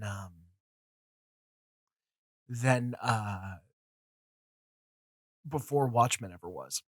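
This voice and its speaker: A man's voice: mid-range voice, a bit bright, no room sound, clean studio sound. A man in his twenties with a cold and a nasal voice, rambling casually through his opinions with many fillers, restarts and casual swearing. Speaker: comics video host.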